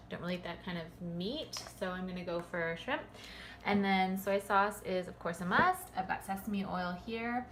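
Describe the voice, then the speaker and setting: A woman's voice: mid-range voice, roomy sound. Woman chatting over a noisy cooking vlog. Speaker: amateur cooking vlogger. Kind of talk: casual chatty vlog narration and cooking demonstration. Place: on location at shops and a home kitchen. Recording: noisy amateur recording with kitchen clatter.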